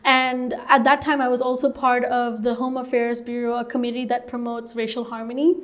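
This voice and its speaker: A woman's voice: fairly high pitch, level tone, brisk. A young woman from Hong Kong, a startup co-founder, telling her story earnestly in an interview with many "like"s and "you know"s. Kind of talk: interview answers.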